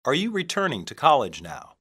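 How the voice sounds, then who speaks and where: Male language-lesson reader, mid pitch, animated intonation, deliberate delivery in separate phrases for learners. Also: husky. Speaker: English teacher reading practice phrases. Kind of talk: scripted language-lesson reading.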